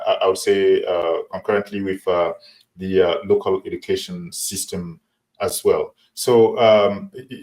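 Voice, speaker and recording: A man hosting an interview, asking long questions with frequent pauses and restarts. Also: low voice, darkish tone, poor audio. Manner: halting, many fillers and restarts. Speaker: interview host.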